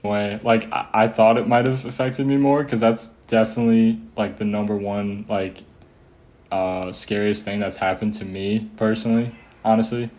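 A young man recalling a personal experience casually, in a halting, understated way. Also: fairly low pitch, fairly even intonation, darkish tone. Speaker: interviewee in an audio documentary.

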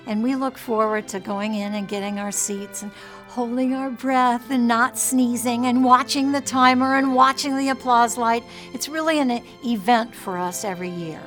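Woman reminiscing over soft music. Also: fairly high pitch, moderate pace. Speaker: audience member, former producer. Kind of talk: interview reminiscence.

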